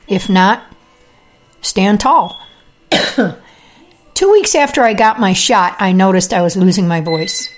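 A woman hosting her own podcast, talking casually with fillers and throat clearing, telling her own experience. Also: medium pitch. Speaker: podcast host; health practitioner with patients. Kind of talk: casual monologue.

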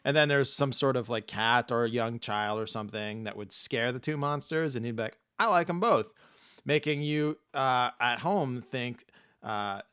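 A man's voice: medium pitch, normal speed, a bit bright, smooth, dry recording, studio quality. A laid-back man hosting a podcast, chatting casually and laughing as he rambles. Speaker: podcast host. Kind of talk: casual chat.

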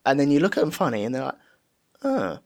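A man's voice: medium pitch, wildly swooping pitch, fast. A man with a southern English accent, chatting casually and acting out quoted voices in his stories. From England. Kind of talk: casual podcast chat.

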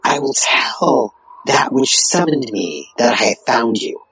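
An actor playing a formal otherworldly spirit in an audio drama, with a husky, breathy voice and measured manner. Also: mid-range voice, very animated, fairly bright tone, heavily acted, rough recording. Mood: solemn, bargaining.

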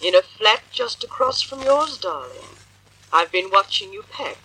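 Young murderess in an old radio play, silky sweet but dangerous, raspy, really swoopy. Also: female voice, medium pitch, very animated, measured pace, sharp tone, full character voice, low-quality recording. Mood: silky menace. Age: young adult. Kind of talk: 1940s radio drama acting. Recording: old radio drama recording.

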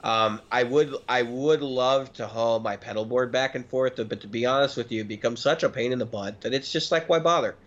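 A man's voice: medium pitch, brisk, hardly any pauses, fairly bright tone, muffled recording. A man in his early thirties chatting casually and emphatically as a talkative podcast co-host. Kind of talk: casual chat about gear and recording.

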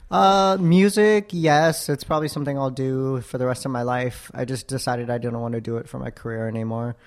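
A young man in his twenties, from Florida, answers questions at an unhurried, halting pace. His voice sits at a middle pitch with a creaky, gravelly texture, and he fills his pauses with soft ums. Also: steady tone. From Florida, USA. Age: 20s. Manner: thoughtful, hesitant, many ums. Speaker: interview guest. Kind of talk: casual interview.

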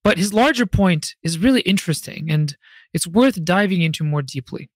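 A man with a fairly high-pitched voice hosts a podcast in a very dry recording. He delivers a prepared monologue with conversational asides, addressing listeners directly in an expressive storytelling manner. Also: normal speed, no room sound. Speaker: podcast host and fantasy author.

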